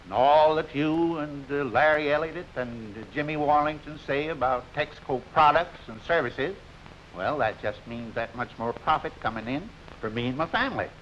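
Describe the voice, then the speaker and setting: A man's voice: on the high side, normal speed, a bit bright, close to an everyday voice. An actor playing an eager, folksy small-town American filling station dealer in the style of a 1940s sponsored film, with colloquial salesman talk. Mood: grateful, earnest. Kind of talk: 1940s sponsored film acting, scripted pitch. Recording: hissy, dull 1940s film soundtrack.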